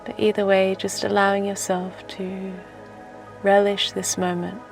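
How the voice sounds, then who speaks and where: A woman leading a guided relaxation meditation in a soft, gentle, soothing voice that turns breathy at times, with unhurried phrases. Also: medium pitch, monotone. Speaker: meditation teacher and host. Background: music.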